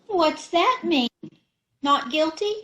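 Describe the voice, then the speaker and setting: A woman's voice: extremely high pitch, animated, takes it slowly, sharp tone, exaggerated voice. A woman playing a scatterbrained, muddled wife in an old-time radio comedy, recorded over a video call. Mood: dizzy. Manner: dizzy, malapropping.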